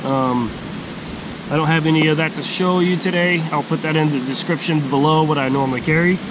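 A middle-aged man giving a casual, plain-spoken outdoor gear walkthrough in a forest by a stream, speaking slowly with halting pauses. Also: fairly high pitch. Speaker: hiking vlogger. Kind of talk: casual gear show-and-tell. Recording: steady outdoor background hiss.

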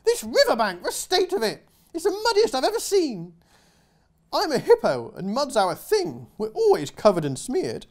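A man voicing a fussy female hippo who hates dirt, shrill and dramatic. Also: huge pitch swings, normal speed, heavily acted. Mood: distressed.

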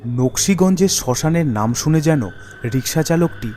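A man's voice: a little high, expressive, moderate pace, a little mellow, a little hiss or hum. A man giving scripted narration of a ghost story as the storyteller. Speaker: storyteller and voice artist. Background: background music.

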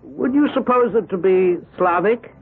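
A muffled old radio broadcast of a male defense lawyer. His voice is high for a man, and he questions carefully and searchingly in 1970s radio drama style. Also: husky. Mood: probing. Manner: deliberate, searching.

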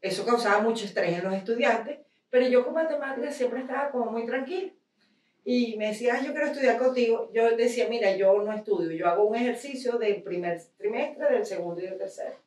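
A woman's voice: a little high, a little fast, a little mellow. A Venezuelan woman in the audience asking a question, hesitant and full of restarts. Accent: Venezuelan Spanish. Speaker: audience member. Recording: distant voice in an echoey hall.

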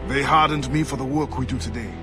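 An actor playing a resolute former pirate captain turned assassin in a video game, with a grave, deliberate, commanding manner. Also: male voice, mid-range voice, fairly even intonation, music in the background, close to an everyday voice. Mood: reflective, firm. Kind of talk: dramatic video game acting.

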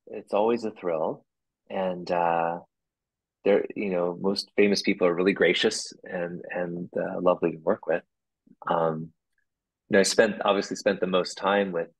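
A man, a puppeteer, talking casually as a guest, rambling with restarts and quick laughs. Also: low voice, creaky, slightly rough, rough recording. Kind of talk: casual interview chat.

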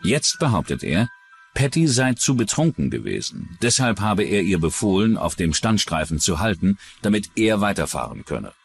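A man's voice: sits low, very animated, normal speed, gravelly, faint music behind. A man narrating a true-crime documentary from a script as its narrator.

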